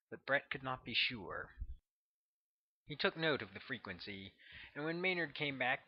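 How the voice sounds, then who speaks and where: A man's voice: mid-range voice, husky, highs cut off. A man reading his own novel aloud as scripted audiobook narration.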